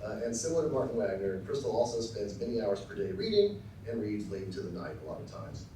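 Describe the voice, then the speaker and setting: A middle-aged man from Texas giving a formal acceptance speech with dry, self-deprecating humor, often pausing with ums and uhs. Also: on the low side, sing-song, very noisy. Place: large lecture hall. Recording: very echoey hall, voice from far off.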